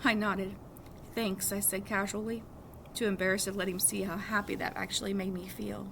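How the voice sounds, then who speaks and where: A woman reading a novel aloud as an expressive storytelling narrator. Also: medium pitch, brisk. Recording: clearly audible background noise.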